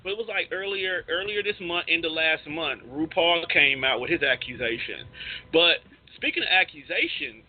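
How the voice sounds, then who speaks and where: An American man with a husky voice hosting an informal radio talk show, searching for his words as he goes. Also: fairly high pitch, normal speed. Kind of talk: casual topical talk. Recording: narrow tinny phone-like line.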